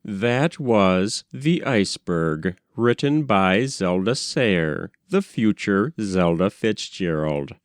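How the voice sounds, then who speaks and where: Male narrator for literary short fiction: unhurried, animated pitch, dry close sound. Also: clean tone, no room sound. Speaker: narrator, reader. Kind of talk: expressive literary story narration.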